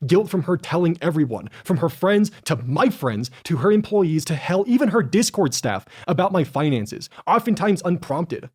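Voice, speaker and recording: A man in his twenties reading a scripted personal statement in a measured, earnest way. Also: on the high side, sing-song, darkish tone, slightly rough. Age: 20s. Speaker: video creator reading a statement.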